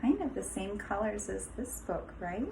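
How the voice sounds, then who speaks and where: A woman kindergarten teacher reading a picture book aloud to young children in an expressive, instructive way, pausing for asides. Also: medium pitch, creaky, slight background noise.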